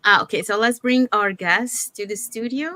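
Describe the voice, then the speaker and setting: A woman from Panama hosting an online interview show in an informal, somewhat halting way. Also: mid-range voice, pushed and bright, no room sound. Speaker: talk show host.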